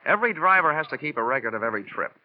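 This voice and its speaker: An actor playing a wisecracking, tough, cocky insurance investigator with an American accent and wry, clipped delivery in an old radio detective drama. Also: male voice, a little high, big pitch swings, racing. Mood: smooth, probing. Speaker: lead actor and narrator. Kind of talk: 1950s radio detective drama, hard-boiled narration. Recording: dull, band-limited old radio recording.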